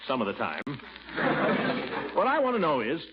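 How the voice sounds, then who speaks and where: An actor playing a flustered, pompous middle-aged uncle in 1940s radio comedy style, hesitant and blustering. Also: male voice, fairly high pitch, wildly swooping pitch, normal speed. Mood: placating. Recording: muffled old radio recording, faint noise.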